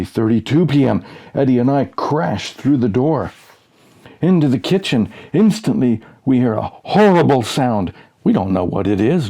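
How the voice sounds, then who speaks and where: A man reading a humorous story aloud as scripted narration, deadpan and suspenseful, with dramatic pauses. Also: medium pitch, vocal fry. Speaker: audiobook reader.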